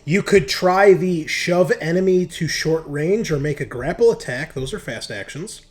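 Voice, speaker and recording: A man's voice: fairly high pitch, normal speed. A man running a tabletop roleplaying game as game master, talking casually and jokily.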